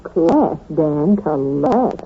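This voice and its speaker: A woman's voice: low-pitched, sing-song, drawn-out words, background noise, exaggerated voice. A woman acting a sassy, jealous secretary sidekick in an old radio detective drama. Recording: tinny old radio recording with music.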